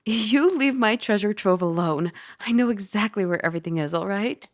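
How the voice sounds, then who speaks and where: A woman playing a caring, teasing dragon girl roommate in an ASMR roleplay, soft, chatty and gently instructive. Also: mid-range voice, moderate pace, no room sound, studio quality, close to an everyday voice. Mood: playfully defensive.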